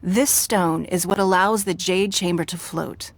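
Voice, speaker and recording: A woman playing a poised, wealthy female ruler who speaks in a measured, formal, authoritative way. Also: lowish voice, fairly even intonation, dry recording. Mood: commanding. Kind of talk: game voice acting. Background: game music.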